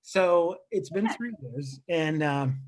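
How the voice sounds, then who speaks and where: A man's voice: a little high, takes it slowly, low-quality recording. A man in his thirties, born in Lebanon, doing a self-deprecating stand-up set over a video call from a rented apartment. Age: 30s. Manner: deadpan, self-deprecating, chatty asides. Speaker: stand-up comedian.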